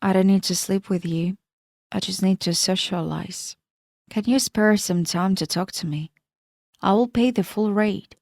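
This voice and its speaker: Polite well-read married man, read by a woman, slow, dry close sound. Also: medium pitch, vocal fry, a little mellow, no echo. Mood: pleading.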